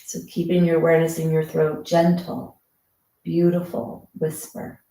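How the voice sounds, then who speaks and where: A breathwork teacher leading a guided breathing meditation with soft, slow, soothing instructions. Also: medium pitch, monotone, far from the mic. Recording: roomy echo.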